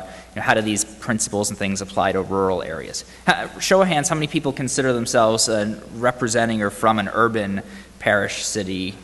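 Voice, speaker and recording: A man's voice: on the low side, fairly bright tone. Man with a faded Boston accent in a hall. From Boston area, US. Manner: conversational, asks the audience questions, says "right?" often. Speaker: conference speaker. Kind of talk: conference presentation. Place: large conference hall.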